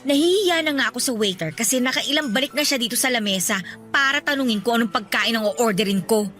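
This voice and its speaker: A woman acting a high, bright, fed-up young Filipina girlfriend. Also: fast talker, soft background music, close to an everyday voice. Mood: annoyed, scolding. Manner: nagging, accusing, emphatic. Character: fed-up young woman confronting her unfaithful boyfriend. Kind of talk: radio drama acting.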